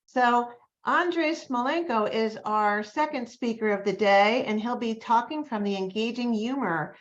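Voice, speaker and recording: An upbeat woman hosts an online meeting and introduces each speaker with enthusiasm. Her voice sits a bit high, and the call audio sounds dull and lo-fi. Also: a little slow. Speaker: meeting host. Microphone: video call.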